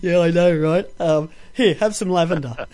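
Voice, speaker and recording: Guy hosting a live show, pretty high voice, rambles on, swears casually. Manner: talkative, coarse-mouthed. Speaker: show host.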